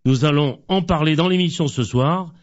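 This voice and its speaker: A man hosting a radio interview, talking slowly with stumbles and repeated words. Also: a little high, dull audio. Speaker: radio host.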